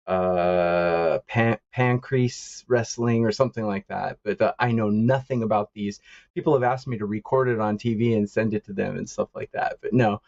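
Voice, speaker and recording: Low-voiced man, halting with fillers. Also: a little mellow, dry recording, lo-fi sound. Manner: halting, many uh fillers, chuckles often. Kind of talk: casual call-in chat. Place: lives in East Asia.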